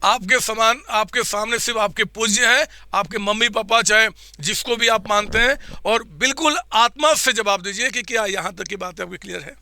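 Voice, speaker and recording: A man teaching accounts in a live online class, with a slightly hoarse throat, quizzing his students and answering for them in a coaxing way. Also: high-pitched, normal speed, hardly any pauses, pushed and bright.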